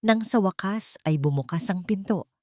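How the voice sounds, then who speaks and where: A woman's voice: medium pitch, animated, moderate pace, darkish tone, no echo, clean studio sound. A woman reading a dramatic romance story as scripted narration.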